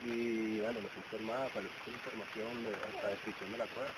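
Young male, Venezuelan accent, informal outdoor guide talk, rapid speech, mid pitch, noisy low-grade camera sound. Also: little pitch movement. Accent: Venezuelan Spanish. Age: young adult. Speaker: cave guide. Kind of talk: informal safety briefing with anecdotes. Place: outdoors in a forest, by a signboard. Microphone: camera a few steps away.

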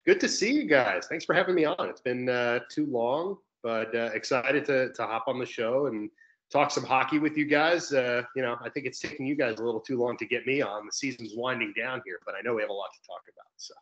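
A young man with a mid-pitched voice talks sports in a conversational way, as an announcer guesting on a show. He is on a video call from a room, and the sound is a little dull. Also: brisk, fairly bright tone. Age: young adult. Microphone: video call microphone. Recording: dull, slightly lo-fi call audio.

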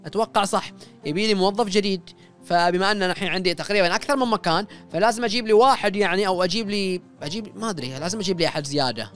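A man's voice: high-pitched, rapid-fire, a bit bright, faint music behind. A young man giving casual let's-play commentary, chatting to viewers and repeating short commands as he plays, on a close studio mic in a small home studio. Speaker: gaming YouTuber. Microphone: studio mic close up, wearing headphones.

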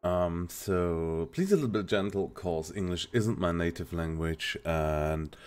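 A man's voice: low-pitched, clean tone. A man with a German accent, a native German speaker, hesitantly explaining a game in a casual tutorial, searching for words. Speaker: game streamer, tutorial host. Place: indoor home streaming setup.

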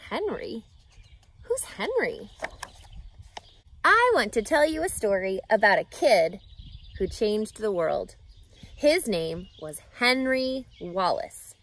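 A woman reads a children's story aloud, expressive and emphatic, addressing young listeners. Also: fairly high pitch. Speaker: reading teacher, storyteller. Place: outdoors in a grassy lakeside yard.

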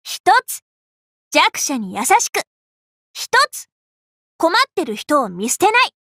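A woman voicing a cheerful, bossy, scatterbrained young female Buddhist monk in an anime game, boastful and childlike in an expressive, exclamatory way. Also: sits high, slow pace, no echo, clean studio sound, exaggerated voice. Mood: eager, instructive. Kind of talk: Japanese anime game character voice lines.

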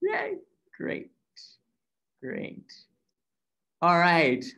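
A man's voice: on the high side, huge pitch swings, very slow, gravelly, bright, forceful tone. A man in his thirties from Mexico, hosting an online event over a video call from an office, upbeat and full of fillers. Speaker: event host. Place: office room, indoors. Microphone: video call webcam.

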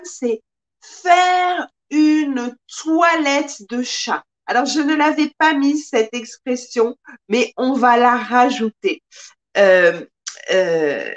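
A woman in her thirties teaches French live from a small room, recorded on a desk webcam. Her voice sits high. She speaks very slowly and expressively, drawing out each phrase for her learners. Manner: slow, careful, expressive teacher with lots of hand gestures. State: occasional coughing from pollen allergies. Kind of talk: live-streamed language lesson.

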